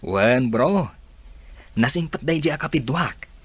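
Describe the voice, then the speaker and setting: An actor playing a friendly young construction worker in casual audio drama dialogue. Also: male voice, medium pitch, animated, slow, husky, no room sound. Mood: chatty.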